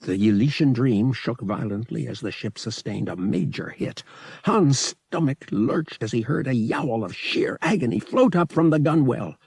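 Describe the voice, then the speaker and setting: A man narrating an adventure audiobook in a dramatic, expressive scripted style. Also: medium pitch, normal speed, gravelly, breathy edge, no room sound.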